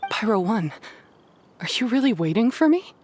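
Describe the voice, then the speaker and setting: A woman's voice: a little high, sing-song, moderate pace, a little mellow, slight background noise. A woman playing a proud, earnest young fighter in a game, intense and emotional. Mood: remorseful, moved. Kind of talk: English game dub voice acting.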